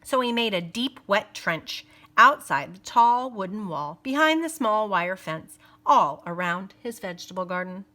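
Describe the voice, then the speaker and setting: A woman teacher reading a picture book aloud, pausing for teaching asides and dropping to playful whispers for suspense. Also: mid-range voice, lively intonation, sharp tone.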